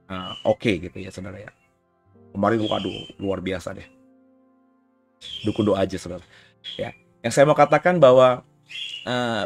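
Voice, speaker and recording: A man preaching a conversational sermon in an informal way, often tagging phrases with short checks, while getting over a cough. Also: on the low side. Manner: informal, frequent "ya" tags and asides. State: recovering from a cough. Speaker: pastor giving a recorded sermon.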